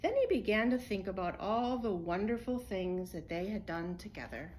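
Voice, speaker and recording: A woman storyteller reading a children's picture book aloud in an expressive, unhurried way. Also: mid-range voice, a little hiss or hum, rough recording.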